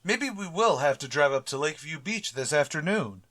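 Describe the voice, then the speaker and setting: A man's voice: on the high side, animated, normal speed, fairly bright tone. A man playing a mild, naive schoolteacher, polite and a little hesitant, in an old-time radio sitcom style. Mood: polite, earnest.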